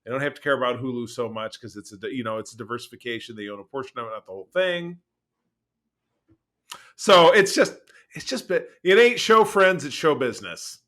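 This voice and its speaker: A man co-hosting a podcast in casual, opinionated chat, animated and emphatic, often repeating his phrases. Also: mid-range voice, brisk. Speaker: podcast co-host.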